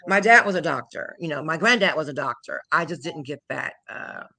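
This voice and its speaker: Woman in her forties, clear bright tone, lively storytelling, drops into French now and then. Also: low voice, brisk, a little hiss or hum. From Houston, Texas. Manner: animated, chatty, laughs often, switches into French. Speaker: guest, language teacher. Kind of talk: casual interview chat.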